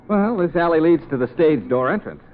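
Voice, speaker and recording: An actor playing a breezy, smooth-talking fixer who is helpful but slightly exasperated, in an old-time radio comedy. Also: male voice, fairly high pitch, expressive, a little fast, natural voice. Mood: breezy, scheming. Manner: glib, chuckling, smooth-talking. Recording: tinny, noisy vintage radio recording.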